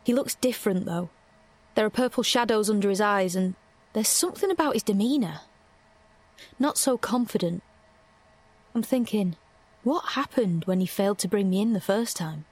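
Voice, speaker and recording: An actress playing a vulnerable young heroine, narrating an audio drama in the first person, intimate and hesitant. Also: female voice, medium pitch, expressive, normal speed, long pauses, a little mellow, breathy edge, no room sound. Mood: tense, fearful. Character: tense young heroine narrating her own flight, vulnerable, reflective.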